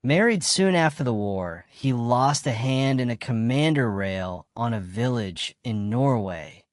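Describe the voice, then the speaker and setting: A man playing a terse, unhurried farm hand in a radio drama, with a laconic manner. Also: medium pitch, expressive, no echo, studio quality, close to an everyday voice. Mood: dry, matter-of-fact.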